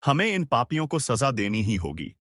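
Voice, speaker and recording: A man's voice: mid-range voice, big pitch swings, moderate pace, fairly bright tone, dry recording, studio quality. A man playing an indignant villager demanding justice in a cartoon story.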